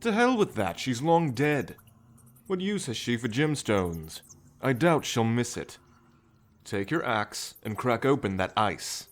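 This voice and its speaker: An actor playing a greedy, impatient, sour treasure-hunting sailor of the 1790s in an audio roleplay, brusque and exasperated. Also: male voice, medium pitch, very animated, slow pace.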